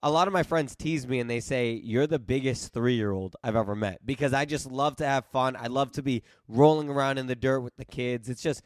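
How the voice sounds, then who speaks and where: Host, late 20s male, eager casual talker with many restarts; mid pitch, dry recording. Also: brisk, hardly any pauses, dry recording. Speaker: podcast host. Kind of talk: casual interview chat. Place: East Coast home, remote video call.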